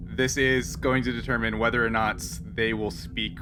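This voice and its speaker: A man explaining and narrating a tabletop roleplaying game as game master, in a conversational, halting way. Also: medium pitch, little pitch movement, background noise. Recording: background music under the voice.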